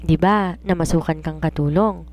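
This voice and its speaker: A woman's voice: sits low, normal speed, darkish tone. A woman voicing a confident romance writer in an audiobook, giving earnest, instructive advice like a mentor. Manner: earnest, instructive, self-assured. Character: confident, preachy woman romance writer advising her maid. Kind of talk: audiobook character dialogue.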